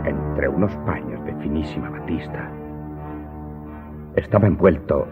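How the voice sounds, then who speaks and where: A man acting in a radio drama as a vengeful Corsican servant confessing to his master, telling his tale deliberately and dramatically with emphatic outbursts. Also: a bass voice, expressive. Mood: tense recounting. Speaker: voice actor in an audio drama. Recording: dull, muffled audio with loud music underneath.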